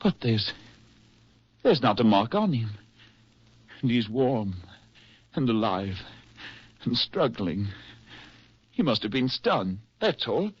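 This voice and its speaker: An actor playing a haunted, fearful man, tense and halting, in 1940s radio drama style. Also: mid-range voice, very animated, husky, no echo, full character voice. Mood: uneasy searching. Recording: dull 1940s radio recording with music.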